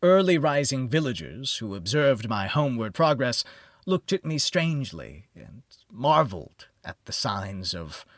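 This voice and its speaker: A man narrating a gothic horror story in the first person, with a measured, theatrical and formal literary delivery. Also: on the low side, fairly bright tone, no echo, clean studio sound. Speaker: audiobook narrator.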